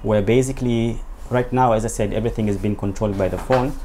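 A man in his thirties from Zanzibar, answering interview questions and explaining in a halting, pausing way. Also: on the low side, fairly even intonation, dark tone. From Zanzibar, Tanzania. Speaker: interview guest, company owner. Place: small indoor office, seated at a desk. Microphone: lapel. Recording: clear background noise, room ambience.

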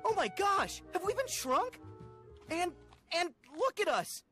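A man's voice: very high-pitched, sing-song, unhurried, pushed and bright, faint music behind, full character voice. An actor playing an earnest, wide-eyed teenage boy hero in a video game, boyish and exclaiming. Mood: astonished.

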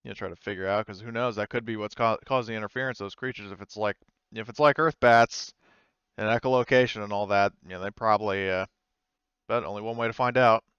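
A man's voice: lowish voice, fast, fairly bright tone, smooth, no echo, lo-fi sound. A man playing a frank female colony administrator. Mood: businesslike.

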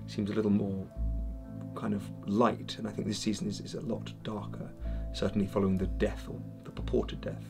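A man in his thirties, an actor, giving measured answers in an interview. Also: fairly low pitch, level tone, creaky. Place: studio room, indoors. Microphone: lapel mic. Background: music.

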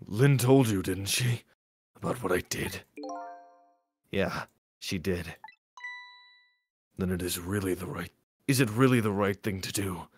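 Man voicing a somber condemned prisoner, lowish voice. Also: measured pace, pauses often, faint music behind. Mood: somber, doubtful. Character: condemned male prisoner, bearded, somber and doubtful. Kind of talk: live amateur voicing of game dialogue.

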